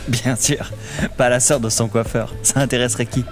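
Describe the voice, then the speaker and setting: A man's voice: medium pitch, level tone, normal speed, music in the background, close to an everyday voice. A man playing a busy, self-important casting director in a comedy audio drama. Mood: hurried, dismissive. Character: busy, name-dropping male casting director.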